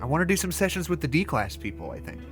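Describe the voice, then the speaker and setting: Gentle, hesitant therapist, soft music behind. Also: male voice, fairly high pitch, wildly swooping pitch, fast talker. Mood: tentative, hopeful. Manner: hesitant, stammering, patient. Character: gentle, hesitant, encouraging therapist in a secret facility. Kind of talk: naturalistic audio drama dialogue.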